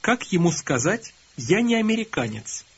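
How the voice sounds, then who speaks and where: A man leads a language course in a calm, instructive tone, prompting the listener to repeat each phrase. His voice sits a little high and sounds breathy and husky. The recording is dull and lo-fi. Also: normal speed. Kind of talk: scripted instruction prompting learners.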